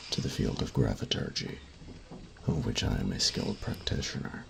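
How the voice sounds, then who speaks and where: A man playing a grumpy, fastidious steampunk artificer-mage in an audio roleplay, speaking formally and precisely with pedantic, halting phrasing. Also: extremely low pitch, vocal fry, fairly bright tone, a little hiss or hum, heavily acted, low-quality recording. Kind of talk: scripted roleplay audio drama, addressing the listener.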